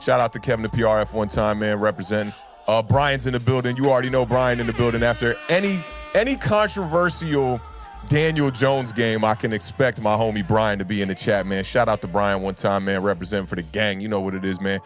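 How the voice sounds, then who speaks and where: A young man with a low baritone voice, a little rough from being sick, talks in a loose, laid-back way and fills his sentences with "man" and "y'all." Faint music plays quietly under him. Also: fairly quick. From United States. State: under the weather, deeper voice than usual. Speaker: sports talk livestream host. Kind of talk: casual livestream chat with fans.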